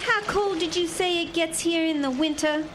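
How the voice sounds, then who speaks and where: A woman's voice: extremely high pitch, normal speed. A woman playing a young, feisty, teasing Texan wife in a radio play. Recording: clear water noise behind the voice.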